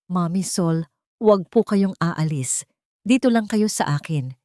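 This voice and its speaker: A woman's voice: fairly low pitch, lively intonation, measured pace, mellow, no echo, studio quality. A woman voicing a tearful, clinging eight-year-old boy in a childlike, pleading manner for a story.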